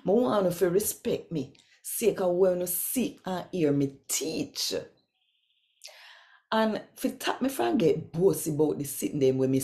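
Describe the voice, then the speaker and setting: A Jamaican woman hosts a devotional reading in a deep-set, mellow voice. She takes her time, phrasing deliberately and addressing the listener directly, as if in conversation. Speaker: host and scripture reader.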